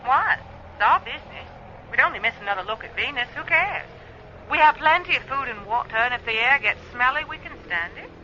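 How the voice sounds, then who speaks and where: A woman playing a brisk, confident spaceship crew member in a radio play, assertive and informal over a radio link. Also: fairly high pitch, animated, sharp tone, highs cut off, a little hiss or hum. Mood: eager, casual. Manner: matter-of-fact, assertive, informal. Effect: radio transmission filter.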